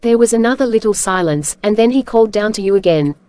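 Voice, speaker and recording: A woman's voice: medium pitch, expressive, quick pace, dark tone. A woman reading scripted narration for a children's storybook. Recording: clear background music.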